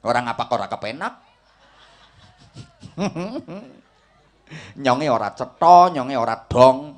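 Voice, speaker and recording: A man's voice: medium pitch, animated, slow. A man with a Javanese accent giving a humorous Islamic sermon in a folksy, rhetorical way, often checking that his listeners follow. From Java, Indonesia. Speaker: Islamic preacher giving a talk to a congregation.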